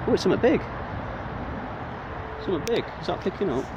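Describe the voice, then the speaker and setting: A young man with an English Midlands accent, chatting excitedly while vlogging from a riverbank, full of tag questions. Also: high-pitched, fast talker, lo-fi sound. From England. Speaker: magnet fishing vlogger. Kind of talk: casual outdoor commentary. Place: riverbank outdoors. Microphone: handheld or body camera. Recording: outdoor wind and traffic noise.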